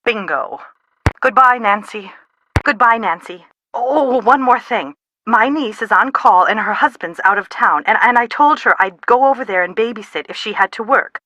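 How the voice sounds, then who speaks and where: A woman playing an anxious, sharp-tongued middle-aged mother in a video game, with a dry, dramatic manner. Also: a little high, moderate pace, no room sound, muffled recording. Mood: stern, exasperated, then brisk. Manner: exasperated, dramatic, dry wit. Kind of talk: video game dialogue, phone conversation acting.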